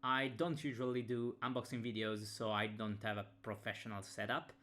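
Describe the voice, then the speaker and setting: A man with an Italian accent, Italian his first language, talking through an unboxing on a webcam, with many pauses and fillers. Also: mid-range voice, little pitch movement, a bit bright. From Italy. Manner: hesitant, many fillers and restarts. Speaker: game designer reviewing a game. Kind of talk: casual unboxing talk.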